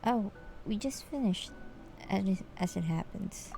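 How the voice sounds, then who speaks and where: A woman's voice: medium pitch, lively intonation, measured pace, creaky, mellow, soft background music. A woman streaming a game, giving casual, hesitant, musing commentary as she plays. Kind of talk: casual live gameplay commentary.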